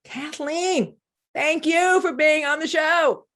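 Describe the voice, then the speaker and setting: A woman hosting an interview podcast, introducing her guest and asking questions in a lively, joking way with quick asides. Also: high-pitched. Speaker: podcast host. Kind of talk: casual interview.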